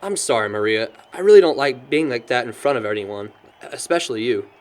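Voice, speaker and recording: A man's voice: mid-range voice, a little fast, close to an everyday voice. A young man with an American accent playing a sentimental, good-natured guy in an audio drama. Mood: apologetic. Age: young adult. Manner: chuckles often, animated storyteller. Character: cheerful young man, sentimental but worried about his sister.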